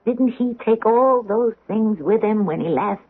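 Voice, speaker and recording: An actress playing a middle-aged daughter, solicitous but insistent, coaxing and probing in a 1970s radio drama. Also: female voice, fairly high pitch, unhurried, breathy edge. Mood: intense. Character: solicitous, insistent grown daughter pressing her aged mother. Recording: muffled, phone-like old radio broadcast.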